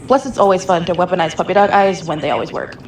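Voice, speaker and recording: A woman's voice: fairly low pitch, fast talker, noisy background. A young adult woman, a show listener, giving a prepared personal answer.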